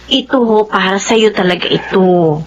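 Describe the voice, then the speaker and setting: A woman's voice: medium pitch, normal speed, muffled recording, slight background noise, natural voice. A woman playing a devoted grown daughter in a drama, earnest and persuasive. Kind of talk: Filipino drama acting.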